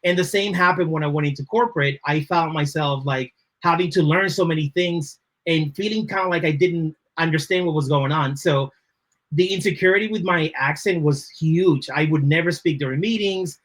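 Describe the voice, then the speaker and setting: A man's voice: fairly high pitch, normal speed, fairly bright tone. A man from the Dominican Republic, a native Spanish speaker with a Dominican accent, answering interview questions thoughtfully and often checking in with "right?". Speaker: podcast guest, creative leader.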